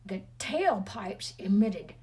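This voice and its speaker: A woman's voice: mid-range voice, animated, slow pace, warm tone, husky. A woman reading a storybook aloud expressively to children, with chatty, teasing asides to her listeners.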